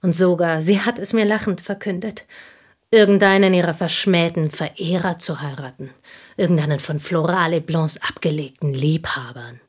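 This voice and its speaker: Bitter intense woman confessing in a radio play, lowish husky breathy voice, fervent and halting. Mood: proud, bitter. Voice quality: husky, breathy, dropping into whispers. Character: intense, embittered provincial Frenchwoman, confessing devoted confidante. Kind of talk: German radio play acting, dramatic monologue.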